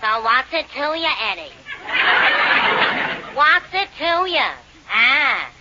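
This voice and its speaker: A woman's voice: sits high, very animated, slow, full character voice. An actress playing a brash, vain young Brooklyn woman with a nasal voice in old radio comedy. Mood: brash, dismissive. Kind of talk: 1940s radio comedy acting. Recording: old muffled radio recording with faint noise.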